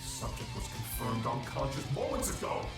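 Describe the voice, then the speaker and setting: A woman's voice: mid-range voice, wildly swooping pitch, moderate pace, far from the mic. A woman voicing a formal, dutiful combat robot in a comic dub, stiff and clipped, with echo and music. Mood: urgent. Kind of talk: animated comic dub acting. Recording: echoey, loud music behind.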